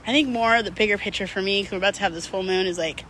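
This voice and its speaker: A woman's voice: mid-range voice, very fast, fairly bright tone, slight background noise, rough recording. A middle-aged woman talking casually and unscripted to her viewers, candid and slangy, rambling and drifting mid-thought. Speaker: vlog host.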